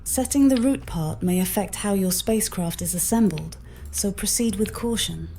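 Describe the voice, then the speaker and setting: A woman's voice: medium pitch, moderate pace, warm tone, faint music behind. A synthetic woman's voice giving instructions as an AI assistant, polite, formal and fluent. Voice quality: synthetic text-to-speech voice. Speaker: AI copilot assistant. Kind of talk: scripted instructional explanation.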